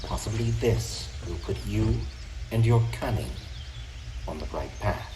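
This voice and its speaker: Audio drama lord, male actor: low, menacing, contemptuous, formal delivery, noisy roomy track. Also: close to an everyday voice. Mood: grave, commanding. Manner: formal, measured, condescending. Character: imperious, menacing elven lord, stern and contemptuous.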